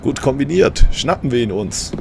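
A man's voice: mid-range voice, lively intonation, normal speed, gravelly, background noise. A man playing himself as a hero in casual audio-play dialogue.

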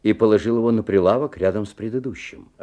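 An actor playing a bemused, observant father who narrates a magical story in a radio drama, with measured, expressive storytelling. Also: fairly low pitch, lightly acted. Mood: watchful, measured.

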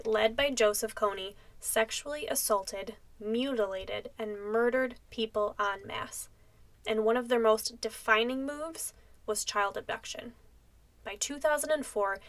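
A young American woman hosting a podcast, reading scripted narration. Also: a little high. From United States. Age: young adult. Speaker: podcast host.

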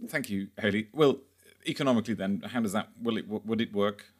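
A man hosting a podcast interview in a conversational, halting way, rephrasing as he goes. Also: on the low side. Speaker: podcast host.

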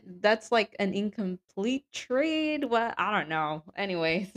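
A woman co-hosting a podcast in casual chat, enthusiastic and laughing often, her voice a little raspy from yelling. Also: mid-range voice, expressive, slow pace. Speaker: podcast co-host. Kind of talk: casual chat about women's soccer.

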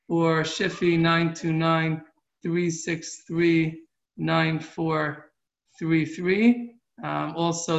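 A middle-aged man giving an informal religious class as a rabbi, taking questions and answering earnestly in a halting way, repeating and rephrasing himself. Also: fairly high pitch, vocal fry, fairly bright tone. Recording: muffled, echoey room recording.

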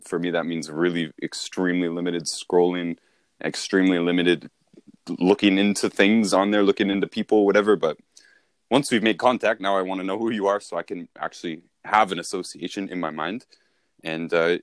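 A man's voice: deep voice, steady tone, a little fast, no echo, studio quality. A man speaking as a sales mentor in an informal training talk, with an instructive, conversational and emphatic way of explaining.

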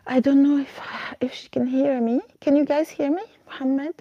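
A woman's voice: high-pitched, steady tone, moderate pace, dry recording, dull audio. A woman hosting a casual live chat, a friendly and patient Arabic teacher who helps guests find their words.

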